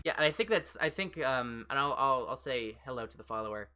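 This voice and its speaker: Fast-talking American woman, bright, fairly deep voice. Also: animated, faint music behind. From United States. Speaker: livestream host. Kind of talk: casual livestream chat, replying to viewers.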